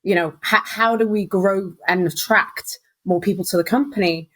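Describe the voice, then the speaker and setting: A woman in her thirties chats easily as an interview guest, spinning her story with quick asides. Her voice sits in a middle register and she slips into little acted-out quotes as she goes. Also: medium pitch, fairly bright tone. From Wales. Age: 30s. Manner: chatty storyteller, acts out quoted voices, laughs often. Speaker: guest, recruitment business founder. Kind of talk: casual interview chat.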